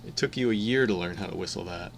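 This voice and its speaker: A man's voice: deep voice, fast talker, vocal fry. A man playing an earnest, pedantic young swing-record buff, dry and matter-of-fact, recorded with some background noise. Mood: dry, bickering.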